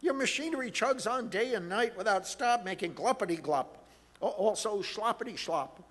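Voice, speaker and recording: A man voicing a bossy, indignant old forest creature who scolds loudly, in a storybook reading. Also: high-pitched, expressive, moderate pace. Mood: scolding. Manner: shouting, puffing, scolding. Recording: reverberant hall.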